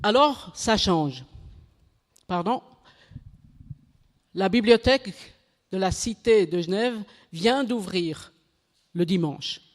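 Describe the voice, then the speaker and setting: A woman gives a measured, unhurried conference talk in French, her second language. She stumbles now and then, restarts and corrects her words. Her voice carries a long reverb from the hall around her. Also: medium pitch, expressive. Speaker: conference speaker.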